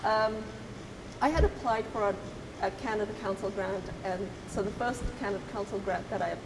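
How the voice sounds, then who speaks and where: A middle-aged woman from Zimbabwe, an artist talking reflectively, pausing often and restarting her thoughts. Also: mid-range voice. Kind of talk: reflective conversational talk. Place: gallery. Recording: roomy hall with hiss.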